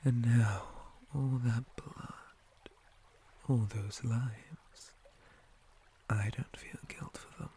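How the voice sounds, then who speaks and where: Slow, whispering male spider monster, acted by a man. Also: mid-range voice, steady tone, dark tone, a little hiss or hum. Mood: predatory. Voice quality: breathy, mostly whispered. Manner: slow, deliberate, theatrical menace. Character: possessive, menacing male spider monster captor. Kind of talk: ASMR roleplay, whispered intimate monologue.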